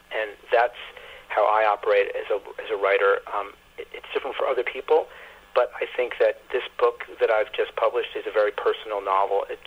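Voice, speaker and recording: A man speaking as an author guest in a radio interview, haltingly, often repeating words as he thinks. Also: on the low side, husky. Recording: muffled lo-fi phone-like line.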